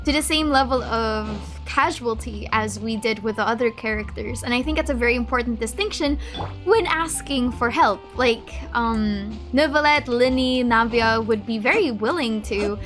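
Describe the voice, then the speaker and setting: A woman's voice: a little high, moderate pace, fairly bright tone. A woman streamer giving casual gameplay commentary, thinking aloud in a reflective, hesitant way. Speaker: game streamer. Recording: faint music behind the voice.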